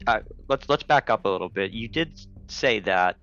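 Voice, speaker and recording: Male, podcast host, very low voice, relaxed interview manner, faint music behind. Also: normal speed. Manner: relaxed, friendly, with fillers. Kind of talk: casual interview. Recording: dull lo-fi recording.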